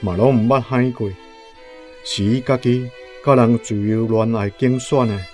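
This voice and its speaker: A worried older Taiwanese father in a radio drama, acted by a man, speaks with measured resignation. His voice is mid-pitched, with faint music underneath. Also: fairly even intonation, a little mellow, natural voice. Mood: resigned.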